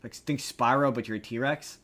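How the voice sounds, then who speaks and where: A man's voice: mid-range voice, fairly even intonation, moderate pace, crowd noise. A man hosting a podcast with casual pop-culture news chat, speaking in a rambling, opinionated way that often doubles back on itself. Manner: rambling, opinionated, often restarts and repeats himself. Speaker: podcast host.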